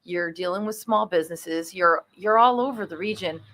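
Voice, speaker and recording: A woman's voice: lowish voice, normal speed, fairly bright tone. A woman hosting a casual interview show, talking in a chatty, enthusiastic way. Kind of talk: casual chat.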